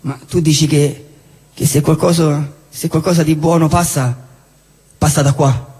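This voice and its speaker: A man acting a disillusioned, jobless character, blunt yet wistful, in halting stage dialogue with repeated phrases and a husky voice. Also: a little high, steady tone, mellow. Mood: lost, wry. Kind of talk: theatrical stage dialogue and monologue. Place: theatre hall, live show. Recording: echoey hall, live stage recording.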